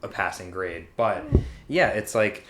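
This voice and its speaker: A man's voice: fairly low pitch, measured pace, fairly bright tone, a little hiss or hum. A man co-hosting a podcast, talking casually and giving his opinions in an informal way with rhetorical questions. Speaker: podcast co-host. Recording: roomy, echoey sound.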